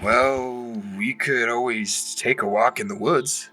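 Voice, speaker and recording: A man's voice: mid-range voice, fairly even intonation, takes it slowly, bright, forceful tone. A man playing a teasing, joking husband trading banter in an audio drama. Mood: playful. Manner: joking, chuckling.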